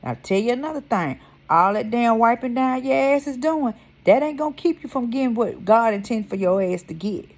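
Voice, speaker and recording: Blunt, cussing woman with a gravelly edge. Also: on the high side, animated, a little fast, hardly any pauses. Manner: blunt, heated, swears freely, repeats for emphasis. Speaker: vlogger. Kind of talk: casual ranting vlog.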